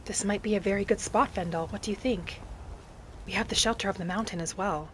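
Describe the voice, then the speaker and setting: A woman playing a gentle, earnest female wood-elf adventurer, musing aloud as she narrates a roleplay game in character. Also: medium pitch, brisk, slight background noise, close to an everyday voice, low-quality recording. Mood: thoughtful.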